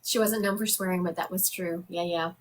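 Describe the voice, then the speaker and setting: A woman's voice: on the low side, fairly even intonation, a little fast. A woman giving a live psychic medium reading for viewers, chatty and conversational, laughing often.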